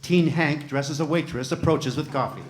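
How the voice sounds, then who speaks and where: A man reading script stage directions aloud in a plain, measured way. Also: on the high side, very noisy.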